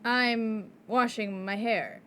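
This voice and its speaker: A woman's voice: fairly high pitch, fairly even intonation, slow pace, bright, forceful tone, slight background noise. A woman playing a prim young landlady, dry and dismissive, in a comedy radio play. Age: young adult.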